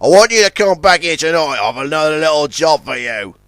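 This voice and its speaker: A man's voice: a little high, expressive, brisk, fairly bright tone, no echo. A man voicing a swaggering crook tugboat who drawls and barks orders with menace. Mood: menacing. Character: swaggering bullying crook tugboat, male adult.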